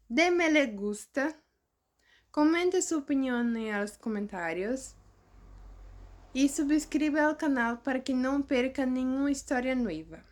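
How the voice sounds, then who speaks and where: A woman hosts with a Brazilian Portuguese accent, speaking as a non-native in a scripted introduction. Her voice sits a little high. She goes slowly and haltingly from phrase to phrase. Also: a little mellow. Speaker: channel host.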